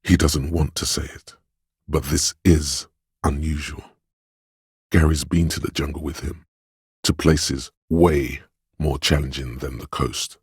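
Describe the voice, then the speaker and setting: A man voicing a gentle, perceptive inner voice in a video game, narrating others' feelings in a measured, hushed, solemn and compassionate way. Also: very deep voice, fairly even intonation, husky, no room sound, clean studio sound, full character voice. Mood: wistful, compassionate. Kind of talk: scripted game narration, intimate inner monologue.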